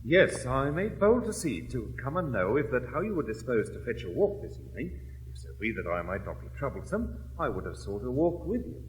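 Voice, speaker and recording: An actor playing a bashful, blustering country squire in a period comedy, rambling and repeating himself in an English accent. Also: male voice, mid-range voice, very animated, a little fast, a little hiss or hum, close to an everyday voice, low-quality recording. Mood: awkward, polite. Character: bashful, blustering, good-natured country squire, clumsy suitor. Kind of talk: classic stage comedy acting.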